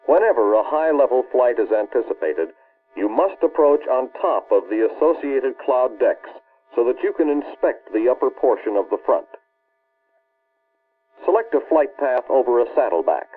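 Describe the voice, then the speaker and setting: An American man reads scripted instructional narration for an early 1960s military film in a formal, authoritative, measured way. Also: mid-range voice, animated, breathy edge, faint music behind. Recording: muffled, band-limited old film soundtrack.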